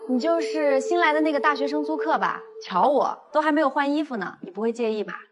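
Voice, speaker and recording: An actress playing a seductive single female neighbour, coy, teasing and suggestive, in an animated drama. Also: high voice, fast, close to an everyday voice. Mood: flirtatious, coy. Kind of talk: Chinese animated audio drama acting.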